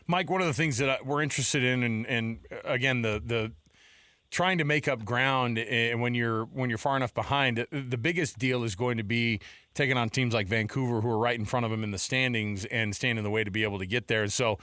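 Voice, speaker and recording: A man's voice: mid-range voice, fairly quick, bright, forceful tone, dry recording. An American man hosting sports radio, talking casually in a bantering, effusive way on a close studio mic. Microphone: close studio microphone.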